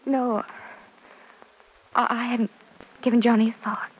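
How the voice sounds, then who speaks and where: Husky lovesick young woman, hesitant n earnest, old radio soap opera. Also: on the high side, flat delivery, a little slow, low-quality recording. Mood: anxious, earnest. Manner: hesitant, earnest, repeats words. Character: earnest young woman, lovesick daughter confiding in her mother. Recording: vintage radio recording.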